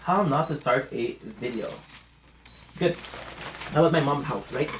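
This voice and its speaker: A man's voice: medium pitch, unhurried, noisy background. Rambling male vlogger. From Montreal, Canada. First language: French. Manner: rambling, stammering restarts, swears casually. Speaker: channel host. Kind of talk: casual rambling vlog chat.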